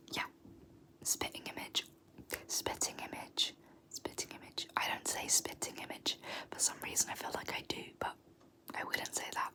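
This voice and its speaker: A young British woman whispering softly in an ASMR video, repeating words slowly with small laughs. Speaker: ASMR creator. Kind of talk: whispered ASMR word pronunciation.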